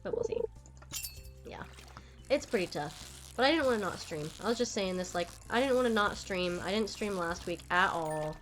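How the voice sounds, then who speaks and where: A woman's voice: medium pitch, normal speed, soft background music. A young woman streaming a game, chatting casually with viewers while stuffed up and nasal from a cold. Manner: chatty, rambling, lively. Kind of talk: casual live-stream chat while gaming.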